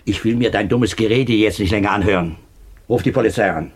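A man's voice: fairly low pitch, a little fast, soft background music, close to an everyday voice. An actor playing an agitated, bitter, domineering father in a German crime radio play, speaking emphatically. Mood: commanding, angry.

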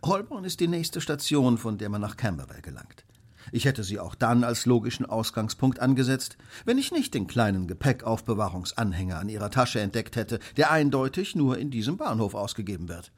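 A brilliant, self-assured Victorian detective in a radio drama. His husky voice swings widely in pitch as he lectures with precise, smug certainty. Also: very animated, fairly quick. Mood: smug, explanatory. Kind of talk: German radio play acting.

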